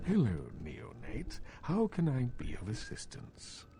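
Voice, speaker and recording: An actor playing an aloof, courteous ancient vampire elder in a video game, speaking in a formal, measured, pompous way. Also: male voice, mid-range voice, huge pitch swings. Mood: formal curiosity. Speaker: game character giving rewards. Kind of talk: scripted game dialogue. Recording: loud ambient noise behind.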